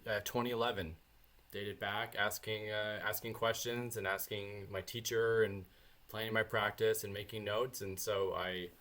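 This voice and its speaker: A Canadian man, a bassist, chatting as a podcast guest in a relaxed, rambling way. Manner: relaxed, rambling, with many fillers and restarts. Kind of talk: casual interview chat.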